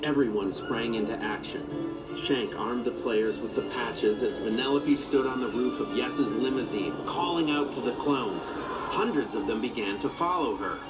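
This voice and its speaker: A man's voice: medium pitch, moderate pace, poor audio. Man narrating a storybook over music. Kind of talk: children's story read-aloud.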